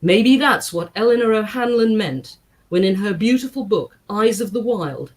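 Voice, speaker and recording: A woman reading aloud to a congregation in an expressive style, with measured, deliberate phrasing and emphatic pauses. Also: mid-range voice. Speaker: guest reader at a synagogue service. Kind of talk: expressive book reading with short spoken introduction.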